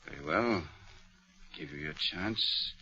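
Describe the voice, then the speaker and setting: An actor playing a haughty, accusing wealthy gentleman in a 1940s radio melodrama, with an imperious, biting delivery. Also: rumbling low, animated, slow pace, exaggerated voice. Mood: accusing, scornful. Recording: muffled 1940s radio broadcast recording.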